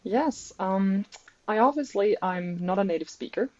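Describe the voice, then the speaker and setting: A woman's voice: mid-range voice, animated, unhurried, a little mellow, highs cut off. A woman from Germany with a German accent, a native German speaker, talking casually as a researcher guest in a good-humoured, self-deprecating way. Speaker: podcast guest, researcher and PhD candidate. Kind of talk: casual interview talk.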